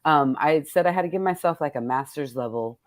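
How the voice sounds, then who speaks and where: Very low-voiced woman, candid and informal. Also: fast talker, no room sound. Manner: candid, informal, salty-tongued. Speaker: poet and event host. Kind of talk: casual, reflective talk introducing a poetry reading.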